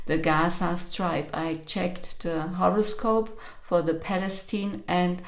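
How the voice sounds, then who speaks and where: A woman speaking English as a second language, calmly explaining astrology in a slow, hesitant monologue with many pauses and fillers. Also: on the low side, roomy sound. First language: not English.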